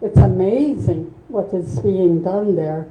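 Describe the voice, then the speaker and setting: An older woman whose first language is Low German, speaking slowly and earnestly as the guest author at a bookstore event. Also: reverberant, a little hiss or hum, poor audio. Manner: slow, earnest, soft-spoken. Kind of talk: reflective conversation at a book event.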